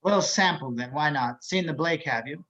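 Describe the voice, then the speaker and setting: A man playing a cultured, menacing killer psychiatrist in a dramatic table read, measured and taunting, with theatrical pauses. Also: a little high, a bit bright, lightly acted. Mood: coolly superior. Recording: dull lo-fi video call.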